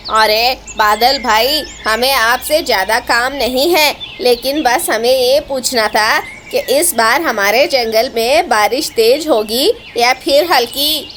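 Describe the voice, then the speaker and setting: A woman's voice: on the high side, takes it slowly, hardly any pauses, fairly bright tone, slight background noise. A woman voicing a lazy, persuasive male crow in a cartoon story, with an exclamatory, urging manner. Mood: urgent. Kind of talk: Hindi cartoon story dialogue.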